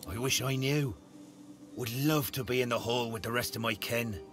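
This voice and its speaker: An actor voicing a weary, homesick male dwarf, gruff and wistful. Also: medium pitch, normal speed, over music, lightly acted.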